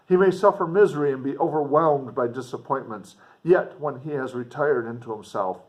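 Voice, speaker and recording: A man reading literature aloud like a teacher, expressively and emphatically, with dramatic pauses and explanatory asides. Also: mid-range voice, poor audio.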